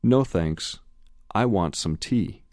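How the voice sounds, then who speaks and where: A man reads a polite speaker in a language-course dialogue. His voice is very low and he reads slowly and deliberately. The sound is dry and close. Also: lively intonation, a little mellow, dry recording. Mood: plain polite. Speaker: dialogue reader in an English lesson. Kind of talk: slow scripted textbook dialogue.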